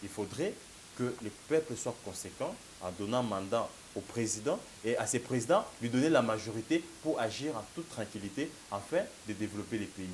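A man's voice: fairly low pitch, animated, brisk, rough recording. A man in his thirties with a Congolese French accent and a slight cold, arguing as a political analyst in an emphatic studio debate. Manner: emphatic, gesturing, repeats "mon cher ami". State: has a cold. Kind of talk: political talk show debate. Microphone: lapel. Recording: faint hiss.

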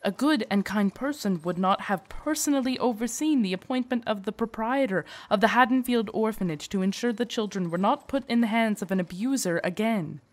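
A devoted lady knight of a romantic audio drama, acted by a woman, with a slightly low voice. She is earnest and tender, choosing courtly, formal words. There is a shy hesitance in her, that of a guard hopelessly in love. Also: moderate pace, a little mellow. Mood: earnest, reassuring. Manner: formal, courtly, hesitant and stammering when flustered. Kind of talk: roleplay audio drama, intimate scripted monologue.

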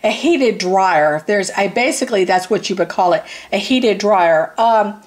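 A woman's voice: medium pitch, unhurried. A tired woman giving a blunt, casual product review, rambling and repeating herself for emphasis. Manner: blunt, informal.

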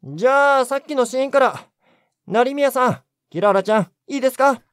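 Brusque senior engineer, animated delivery. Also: male voice, very high voice, moderate pace, clean tone, dry recording, clean studio sound. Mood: businesslike. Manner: blunt, dismissive. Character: brusque, skeptical senior male studio engineer. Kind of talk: Japanese voice drama acting.

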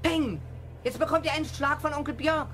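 A young-sounding performer playing a boastful, excited boy detective in a children's radio play, eager and self-assured. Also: sits high, a little fast. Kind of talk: 1970s German children's radio play acting. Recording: clear engine hum and noise.

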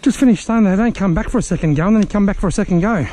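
An Australian man giving chatty, excitable commentary while fishing on a riverbank, filmed on an action camera and talking to the fish he catches. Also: high voice, rapid-fire. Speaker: fishing vlogger. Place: outdoors on a riverbank.

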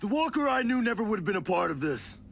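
An actor playing a bitter, defiant soldier in a video game, calling out accusingly. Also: male voice, sits high, sing-song, quick pace, creaky, fairly bright tone, exaggerated voice. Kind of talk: video game cutscene acting. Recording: faint ambient noise behind.

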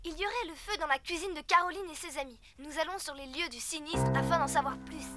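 A woman's voice: high voice, fast talker, over loud music, natural voice. A woman voicing an earnest little cartoon girl who plays a self-important news reporter, announcing in a mock-serious way. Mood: urgent. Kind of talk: French cartoon dubbing.

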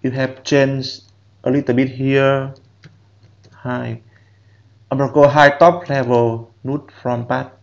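Slow, halting man with a lo-fi mic. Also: mid-range voice, level tone, vocal fry. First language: Vietnamese. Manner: slow, halting step-by-step instructions in non-native English. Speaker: programming instructor. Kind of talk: screen-recorded software tutorial.

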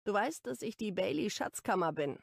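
Woman doing a teasing laid-back young guy from an audiobook, blunt, bit of fry in the voice, dry sound. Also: mid-range voice, expressive, moderate pace, no room sound. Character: Rome, teasing, easygoing young man, a brother.

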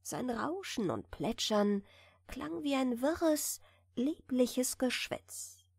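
A woman gently narrating a bedtime fairy tale in a soft, soothing manner. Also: high-pitched, sing-song, takes it slowly, vocal fry, slightly rough, dry recording, clean studio sound. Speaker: audiobook reader.

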